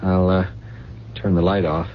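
An actor playing a nervous, resentful, scheming son in a 1970s radio drama, halting and evasive in his talk. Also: sits low, flat delivery. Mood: uneasy, evasive. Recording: muffled, noisy old radio broadcast.

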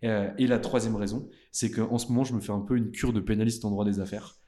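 Man, interview host, fairly low voice, mellow, friendly, informal. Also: steady tone, fast talker. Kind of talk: conversational interview.

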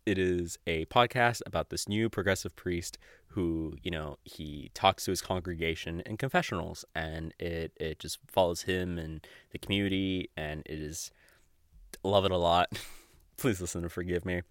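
A young adult man hosting a podcast solo, chatting casually and off the cuff in a giggly, rambling, sweary way with halting false starts. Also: deep voice, dry recording, clean studio sound. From Mexico or of Mexican family. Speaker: podcast host. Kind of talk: casual unscripted podcast monologue.

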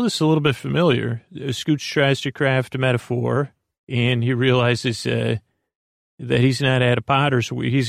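A man with a creaky voice giving a lulling, meandering, halting bedtime podcast monologue as host, in a self-deprecating way. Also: mid-range voice, no echo, clean studio sound. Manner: lulling, soothing.

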